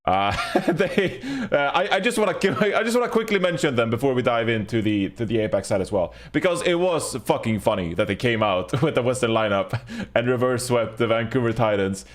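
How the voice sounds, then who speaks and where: A man with a medium-pitched voice hosts an esports podcast. He presents informally, with an amused, jokey manner. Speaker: podcast host.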